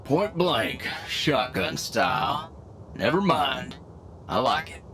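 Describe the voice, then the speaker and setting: A man's voice: mid-range voice, slow, a bit bright. A man playing a laconic, dry-witted fighter in a fantasy audio drama. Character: laid-back, dry-witted male fighter of a fantasy crew. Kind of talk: fantasy audio roleplay acting. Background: music.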